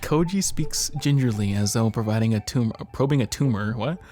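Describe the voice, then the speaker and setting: A man's voice: medium pitch, animated, normal speed, dark tone. A man streaming a game in a casual, giggly way, reading its story text aloud and stumbling over words here and there. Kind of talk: casual let's play, reading visual novel text aloud. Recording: background game music.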